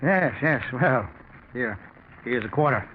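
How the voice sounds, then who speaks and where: A man's voice: medium pitch, expressive, slow. An actor playing a scheming middle-aged businessman, nervous and falsely genial, with stammering, over-assuring speech in 1940s radio drama style. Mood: distracted. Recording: muffled, faintly noisy 1940s radio recording.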